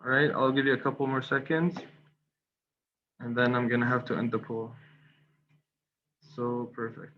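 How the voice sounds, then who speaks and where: A man's voice: medium pitch, level tone, moderate pace, pauses often. Hesitant man speaking on an online call. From Qatar. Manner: hesitant, many fillers and restarts. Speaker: guest speaker. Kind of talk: webinar presentation. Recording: slightly dull online call audio with faint noise.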